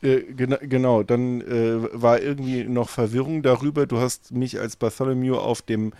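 Man, mid-pitched, dry close sound, casual co-host recap, wry, teasing. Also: fairly even intonation, normal speed, mellow, no room sound. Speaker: podcast co-host.

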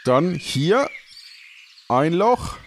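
A man playing a helpful, naive gatekeeper in an improvised pirate audio play, talking in a casual, halting way. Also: a little high, very animated, full character voice. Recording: faint background noise.

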